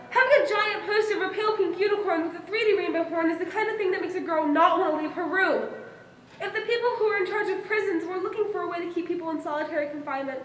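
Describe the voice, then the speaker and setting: A woman's voice: very high voice, fairly bright tone. A young woman on stage playing a self-assured, fussy girl who boasts in an emphatic, childlike, matter-of-fact way. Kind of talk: stage monologue in a one-act play. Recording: roomy, distant stage recording with noise.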